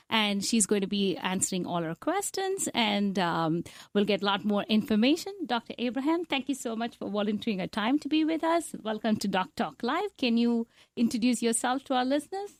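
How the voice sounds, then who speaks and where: A woman's voice: a little high, big pitch swings, normal speed, no room sound, studio quality. Woman doctor hosting a health radio show. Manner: scripted intro, asks careful questions. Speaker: host, physician. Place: radio studio. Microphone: studio boom mic.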